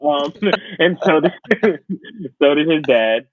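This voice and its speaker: A man's voice: on the high side, animated, takes it slowly, muffled recording. A young man who hosts a podcast, chatting casually about pop culture in a conversational way with wry asides. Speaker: podcast host.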